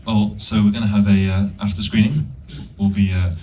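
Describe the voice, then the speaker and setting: Very low, quick-talking male host. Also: level tone, mellow. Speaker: event host. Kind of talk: casual live hosting. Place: cinema auditorium event. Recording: distant mic, faint room noise.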